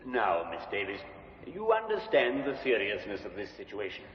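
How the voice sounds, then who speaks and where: An actor playing a persistent defense barrister who questions witnesses crisply in a 1950s radio drama. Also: male voice, fairly low pitch, huge pitch swings, normal speed, a little hiss or hum. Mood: probing. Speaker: defense counsel. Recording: dull echoey old radio recording.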